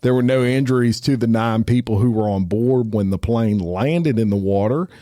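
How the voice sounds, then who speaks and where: A man's voice: mid-range voice, normal speed, mellow, dry recording. Man, podcast co-host, folksy, dry close sound. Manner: folksy, drawling, says "y'all". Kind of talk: casual crime news chat. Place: podcast studio.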